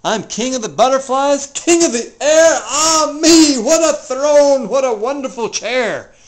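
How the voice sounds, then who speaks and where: Someone doing a bossy storybook turtle king, boastful n pompous, loud and high, bellowing like he owns everything. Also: animated, a little slow. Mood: triumphant. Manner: pompous, grandiose, shouting commands.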